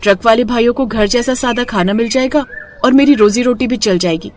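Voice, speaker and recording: A woman's voice: on the high side, a little fast, darkish tone, a little hiss or hum, close to an everyday voice. A woman voicing a poor, orphaned young village woman, earnest, plaintive and polite, in a cartoon moral story. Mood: determined.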